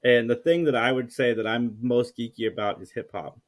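Casual male podcaster with a middle-pitched voice. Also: fairly quick. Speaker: podcast guest and co-host of a geek culture show. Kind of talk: casual storytelling chat.

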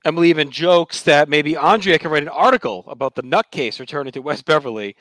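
A man's voice: on the high side, lively intonation, fast, fairly bright tone, no room sound. A man hosting a podcast, casually recapping a TV episode and retelling scenes with quoted dialogue. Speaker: podcast host.

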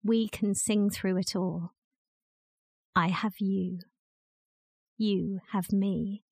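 A woman reciting a poem with measured, deliberate phrasing and pauses. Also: mid-range voice, steady tone, creaky, husky, dry recording, studio quality. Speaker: volunteer or staff poetry reader. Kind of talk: poetry recitation.

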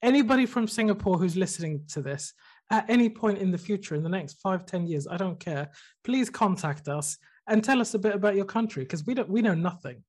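A man's voice: sits high, lively intonation, fairly quick, a little mellow. A man co-hosting a podcast, explaining things in casual chat and talking eagerly about cities. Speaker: podcast co-host.

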